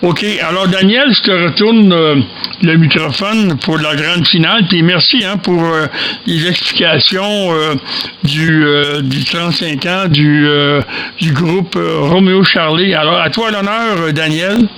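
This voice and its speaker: A man with a Quebec French accent chats over amateur radio in a fairly high, creaky voice. He searches for words and doubles back on them, with light radio noise behind him. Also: unhurried, bright, forceful tone. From Quebec, Canada. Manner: hesitant, repeats words. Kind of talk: casual ham radio chat. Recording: noisy shortwave radio contact.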